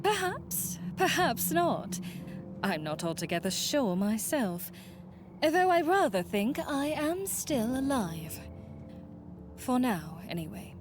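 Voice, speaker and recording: A woman's voice: sits high, sing-song, slow, over music, heavily acted. A woman playing a wistful, doting old friend in a visual novel, speaking in florid, archaic phrases. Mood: coy, fond.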